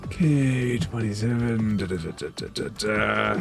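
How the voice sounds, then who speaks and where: A man running a tabletop game as dungeon master, narrating the scene with relaxed, unhurried delivery and dry asides. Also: on the low side, creaky, faint music behind.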